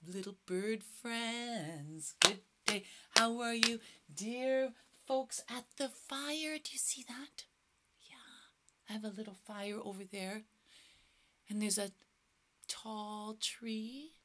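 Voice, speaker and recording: A middle-aged woman teaching young children music, talking in an animated sing-song and dropping into hushed whispers, recorded at a webcam in a cozy room. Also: a little high. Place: cozy room full of toys and instruments.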